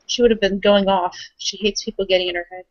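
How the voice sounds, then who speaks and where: A woman playing an earnest, conciliatory young woman in a tabletop roleplaying game, speaking calmly and reflectively. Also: medium pitch, fast talker, no echo, dull audio, natural voice.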